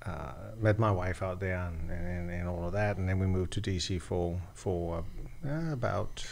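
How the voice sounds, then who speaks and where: A man in his forties from Denmark, a podcast guest telling his story in a relaxed way with many fillers, recorded on a desk mic in a small studio. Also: deep voice, moderate pace, gravelly. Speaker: podcast guest, e-commerce seller. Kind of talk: casual interview chat. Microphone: desk mic on boom arm.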